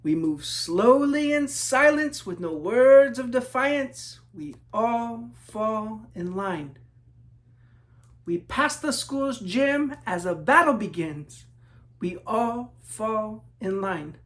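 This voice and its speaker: A man from New Mexico reading his children's book aloud in measured rhythm, as a storyteller talking to young listeners. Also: high voice, lively intonation, fairly bright tone. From New Mexico, United States.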